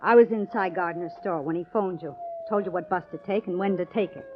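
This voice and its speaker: A woman's voice: on the low side, brisk, close to an everyday voice. An actress playing an evasive, armed young woman in trouble, in 1940s radio drama style. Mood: urgent. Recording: dull, faintly noisy old radio recording.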